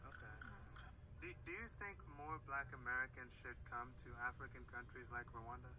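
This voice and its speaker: A man interviews people on the street, asking short, direct questions and nudging answers along with mm-hmms. His voice sits at a middle pitch, and clear traffic noise surrounds him. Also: fairly even intonation, moderate pace. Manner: brief, prompting, many mm-hmms.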